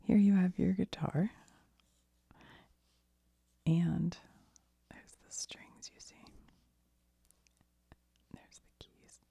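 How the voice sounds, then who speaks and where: A woman speaks very softly in a low, heavily creaky voice with a breathy, husky edge. She rambles conversationally like an ASMR host, haltingly and with self-deprecating asides. The sound is close and dry. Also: steady tone, dry recording, lo-fi sound. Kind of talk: soft-spoken ASMR, rambling casual explanation.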